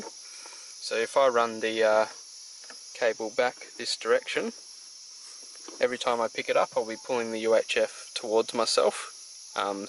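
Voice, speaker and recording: Relaxed man explaining a car install. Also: on the low side, a little hiss or hum, low-quality recording. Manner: relaxed, plain-spoken, frequent "uh" pauses. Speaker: DIY car install presenter. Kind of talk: casual how-to narration while working. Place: outdoors beside and inside a vehicle.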